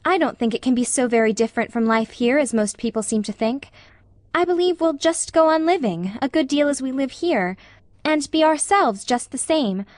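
A woman voicing an earnest, gentle young woman in an audiobook, consoling a friend with careful reassurance. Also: high-pitched, expressive, moderate pace, no room sound, clean studio sound. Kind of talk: audiobook character dialogue.

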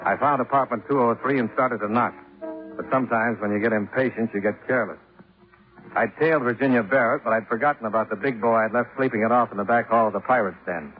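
An actor playing a wry, hard-boiled, unflappable private investigator in deadpan 1950s radio noir style. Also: male voice, mid-range voice, fast talker, close to an everyday voice. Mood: wry narrating. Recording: tinny old radio recording, music behind.

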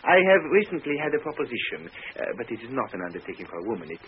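A male actor as a smooth French swindler with theatrical manners. His pitch swoops freely and his words come quickly, all ornate courtesy in a French accent. It sounds like a muffled old radio play with some hiss. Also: sing-song, natural voice. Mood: confiding, businesslike. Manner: ornate, mock-courteous phrasing. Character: suave, theatrical French husband turned genial con-man. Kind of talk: 1950s radio drama acting.

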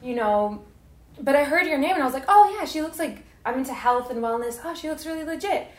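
A woman's voice: high-pitched, animated, brisk. A thirty-year-old woman hosting a podcast, chatting in an effusive, informal and slangy way. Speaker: podcast host. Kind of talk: casual interview chat. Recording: roomy, echoey sound.

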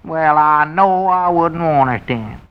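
An actor playing a simple-minded, suspicious old country storekeeper in an old-time radio comedy, with a rural Southern accent and querulous, naive talk. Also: male voice, a little high, takes it slowly, clean tone. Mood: querulous, doubtful. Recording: muffled vintage radio recording.

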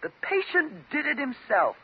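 An actress playing a bitter, vengeful jilted patient with a mocking, sarcastic and dramatic manner in a 1950s radio drama. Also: female voice, sits high, huge pitch swings, normal speed, gravelly, slight background noise, full character voice. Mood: sarcastic, accusing. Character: bitter, vengeful jilted woman patient holding doctor at gunpoint. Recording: dull old 1950s radio recording.

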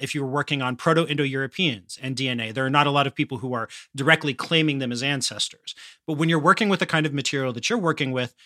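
A man's voice: medium pitch, sharp tone, dry recording, studio quality. A man hosting an interview podcast, talking conversationally in an emphatic, articulate, explanatory manner. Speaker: podcast host.

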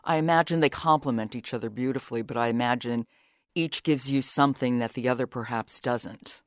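A woman with a low-pitched voice, recorded dry and close. She interviews a guest with curious, carefully phrased questions. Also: a little fast, dry recording.

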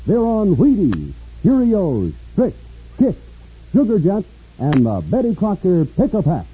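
A man giving a folksy 1950s radio advertising pitch, addressing listeners directly. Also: huge pitch swings, a little slow, slightly rough. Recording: dull, muffled old radio recording.

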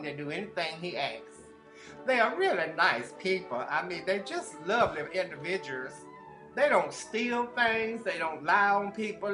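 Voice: mid-range voice, natural voice. Someone playing a gossipy busybody. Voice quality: drawling, rambling, nonstandard grammar. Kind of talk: comedy character monologue.

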